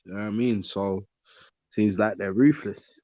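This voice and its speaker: A man's voice: fairly low pitch, fairly even intonation, moderate pace, dark tone, dry recording, lo-fi sound. A man chatting casually about football in slangy speech full of conversational tags. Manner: slangy, often tags phrases with "you know what I mean". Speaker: podcast panellist.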